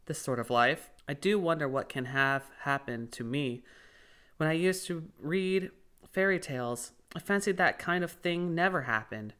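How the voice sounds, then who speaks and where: A man's voice: on the high side, bright, forceful tone, clean tone. Curious storybook little girl read by a man, polite, earnest, musing and a touch worried. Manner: musing, earnest, chattering to herself. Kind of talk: audiobook character voice.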